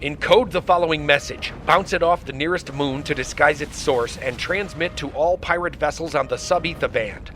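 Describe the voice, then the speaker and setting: An actor playing a defiant, gruff alien starship captain in an audio drama, commanding and wry. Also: male voice, a little high, normal speed, hardly any pauses, sharp tone. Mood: brisk, commanding. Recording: faint background noise and ambience.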